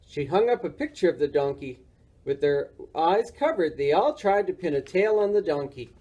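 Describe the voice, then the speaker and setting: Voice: medium pitch, animated, normal speed, mellow, slightly rough, highs cut off. A reader narrating a children's storybook for a library story time.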